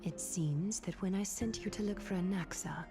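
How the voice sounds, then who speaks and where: A woman's voice: mid-range voice, normal speed, natural voice. A woman voicing a composed, imperious noblewoman with teasing irony in a video game, poised and dramatic. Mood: commanding. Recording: game music behind voice.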